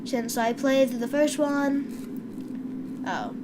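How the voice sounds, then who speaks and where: A woman's voice: sits high, very slow, very noisy. A woman with a stuffy voice from a cold, giving casual video game commentary and stumbling over words as she reads aloud. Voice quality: stuffy, congested. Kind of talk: casual let's play commentary, reading on-screen text aloud. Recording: loud game music behind voice.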